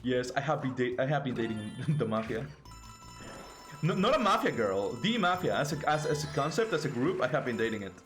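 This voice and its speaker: A man's voice: medium pitch, big pitch swings, brisk, soft background music. A man with a Mexican Spanish accent, a native Spanish speaker, casually commentating a game on a live stream in a playful, joking way with stammering restarts. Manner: playful, jokey, frequent laughter and stammering restarts.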